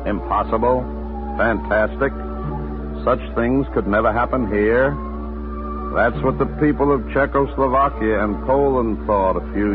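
Old time radio guy narrating dramatically, rhetorical questions, music behind, phone-like sound. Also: lowish voice. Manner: dramatic, rhetorical, with weighty pauses. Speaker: host and narrator. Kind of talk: 1940s radio scripted narration. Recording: old 1940s radio, tinny, narrow.

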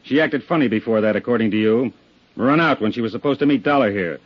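An actor playing a gruff, suspicious police sergeant in 1950s radio drama, blunt, sarcastic and pressing. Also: male voice, medium pitch, fast talker, close to an everyday voice. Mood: impatient, badgering. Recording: dull 1950s radio recording.

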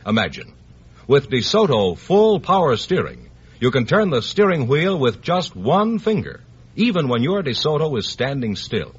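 Vintage American male announcer, very rough husky tone, lively swings, emphatic selling, dull old recording. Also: mid-range voice. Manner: emphatic, polished sales delivery. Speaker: commercial announcer. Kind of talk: 1950s radio advertisement.

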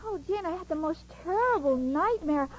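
A woman's voice: extremely high pitch, lively intonation, normal speed, slight background noise. An actress playing a naive, scatterbrained young woman in a 1940s radio sitcom, talking in a sweetly daffy way. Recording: dull old radio broadcast with audience laughter.

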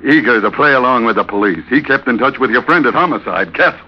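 An actor playing a cocky, jovial American first mate in a breezy, slangy 1940s radio adventure style. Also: male voice, medium pitch, animated, fast, close to an everyday voice. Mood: confiding. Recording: muffled, noisy old radio broadcast.

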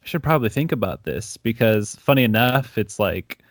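Chatty young man, low voice. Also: moderate pace, dry recording, studio quality. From Ecuador. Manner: animated storyteller, acts out quotes, many "like"s. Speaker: comic creator guest. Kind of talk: casual interview chat.

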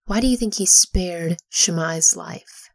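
Woman, soft husky whisper, Bible study reader, close and dry. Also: lowish voice, slow, dry recording. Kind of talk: whispered scripture reading with study questions.

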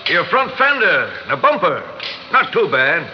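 A man's voice: high-pitched, very animated, normal speed, loud music behind the voice. A man acting in a classic radio drama as a chummy, folksy car repairman with a genial manner. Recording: muffled old radio recording with hiss.

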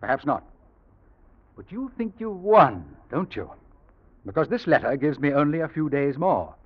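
An actor playing a suave, scheming Englishman in an old radio drama, with a husky voice and a smooth, theatrical, insinuating manner. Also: on the high side, sing-song, normal speed. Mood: accusing, defiant. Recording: dull, muffled old radio recording.

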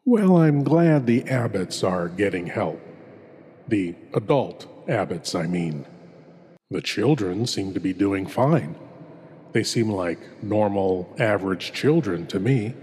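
A man performing a wry, sinister horror host in a theatrical, deliberate monologue. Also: on the low side, expressive, a little mellow, breathy edge, exaggerated voice. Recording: long reverb with music behind.

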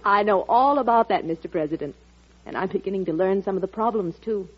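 An actress playing a devoted, witty young bride in 1940s radio drama style, affectionate and self-assured. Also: female voice, mid-range voice, quick pace, slightly rough, close to an everyday voice. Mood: teasing affection. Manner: affectionate, teasing. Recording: muffled old radio broadcast.

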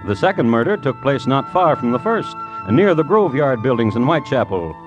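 Casting: male vintage radio host, formal deadpan with expressive pitch turns, wry crime narration. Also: brisk, highs cut off, over music.

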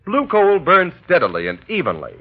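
A man's voice: high voice, huge pitch swings, moderate pace, breathy edge. A man reading an advertisement as a 1930s radio announcer, with an emphatic sales delivery. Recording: dull old radio recording.

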